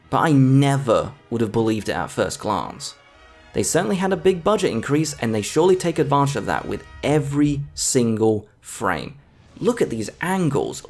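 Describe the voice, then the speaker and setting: A man's voice: mid-range voice, darkish tone. A man giving scripted video essay narration as a film reviewer, with an enthusiastic, emphatic delivery. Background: background music.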